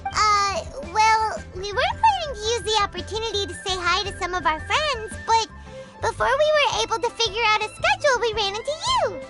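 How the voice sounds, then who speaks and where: A woman voicing a tiny, excitable floating girl companion in a video game who talks about herself in the third person. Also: normal speed. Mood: suspicious. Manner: speaks of herself in third person, squeaky and bouncy. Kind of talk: video game cutscene dialogue. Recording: game audio over loud music.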